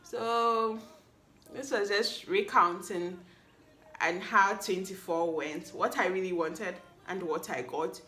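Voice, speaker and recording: Bright-voiced woman in her twenties. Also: on the low side. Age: 20s. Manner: playful, chatty, teasing the listener. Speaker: podcast host. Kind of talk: solo podcast recap. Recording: echoey room.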